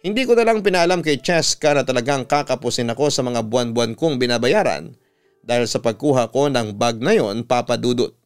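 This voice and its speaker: Smooth Filipino male storyteller over music. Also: mid-range voice, brisk. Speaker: radio announcer and storyteller. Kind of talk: dramatic scripted narration of a listener's letter. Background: music behind the voice.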